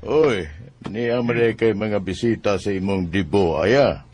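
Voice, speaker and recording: A man's voice: on the low side, takes it slowly, darkish tone. Flustered middle-aged Cebuano father. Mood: surprised. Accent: Filipino, Cebuano. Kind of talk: radio drama acting.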